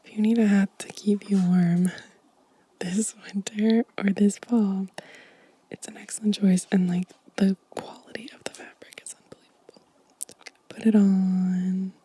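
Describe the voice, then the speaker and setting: A woman whispering gently and softly in an ASMR show-and-tell style, breathy and unhurried. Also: mid-range voice, level tone. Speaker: ASMR video creator.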